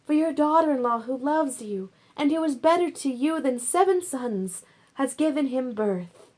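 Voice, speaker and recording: A woman's voice: high-pitched, animated, normal speed, darkish tone, pitched above the performer's own voice. A woman voicing jubilant townsfolk in a Bible storybook, calling out ceremonial blessings in an exclamatory way. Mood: joyful praise. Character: jubilant biblical townsfolk giving solemn blessings.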